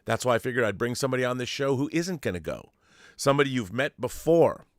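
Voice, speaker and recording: A man's voice: mid-range voice, expressive, fast talker, no room sound, studio quality. A man hosting a pop culture show with a grandiose, playful, theatrical manner. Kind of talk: showy scripted-sounding intro and casual fan discussion.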